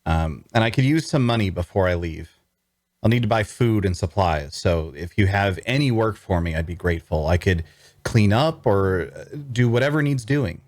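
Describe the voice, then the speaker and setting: The earnest, down-on-his-luck wanderer a man acts out narrating a fantasy audio drama, low-voiced, as if recorded in a padded booth. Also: expressive, moderate pace, no echo, clean studio sound, lightly acted. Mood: uneasy. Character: earnest, cash-strapped young male traveler telling his tale. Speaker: narrator and lead actor in a table read. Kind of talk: first-person fantasy narration and dialogue.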